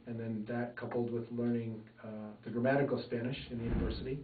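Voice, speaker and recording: A man from Minnesota giving an oral history interview, talking in a thoughtful, measured way with many fillers. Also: medium pitch, flat delivery, noisy background. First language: English. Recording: echoey room, far mic, phone-like band.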